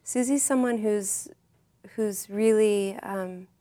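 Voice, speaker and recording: A woman's voice: medium pitch, slow, warm tone. A woman author and artist, a podcast guest speaking in a reflective, earnest way. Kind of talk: podcast interview.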